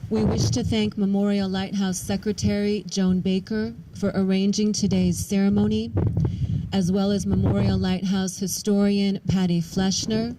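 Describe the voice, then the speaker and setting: A woman's voice: mid-range voice, noisy background. A woman officiating a ceremony, delivering a formal, scripted speech.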